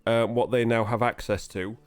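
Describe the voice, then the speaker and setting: A man narrating as game master in a relaxed, chatty way. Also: medium pitch, moderate pace, smooth.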